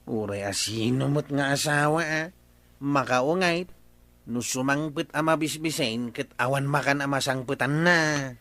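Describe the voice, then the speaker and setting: A man's voice: medium pitch, a little slow, a bit bright, dry recording. A man acting a gossipy, conversational villager in an Ilocano radio comedy drama.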